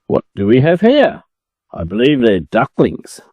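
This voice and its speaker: A man's voice: mid-range voice, wildly swooping pitch, measured pace, no echo, phone-quality sound. An Australian man voicing a protective, chatty mother hen in a storybook reading. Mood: wary, scolding. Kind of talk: storybook character voice.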